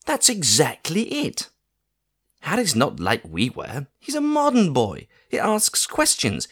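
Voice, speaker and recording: A man's voice: fairly high pitch, wildly swooping pitch, a bit bright, slightly rough, voice pushed up, heavily acted. A man reading an audiobook, voicing a bossy, proud middle-aged aunt with a scolding, emphatic delivery. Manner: scolding, emphatic, slow stressed words.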